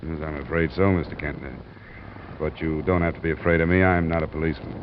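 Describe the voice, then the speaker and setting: Low, deadpan American radio detective. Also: male voice, quick pace. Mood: firm, calm. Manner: clipped, deadpan. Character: hard-boiled, wry private insurance investigator. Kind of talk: 1940s-50s radio detective acting and narration. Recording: muffled, noisy old radio recording.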